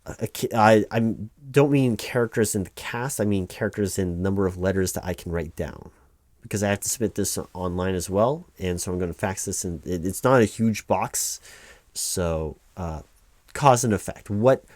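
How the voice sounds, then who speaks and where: A man's voice: low-pitched, normal speed, darkish tone, dry recording, lightly acted. A man playing a flustered, apologetic office clerk in a one-sided ASMR roleplay, hesitant and rambling as he corrects himself. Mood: patient, explanatory. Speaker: claims paperwork interviewer.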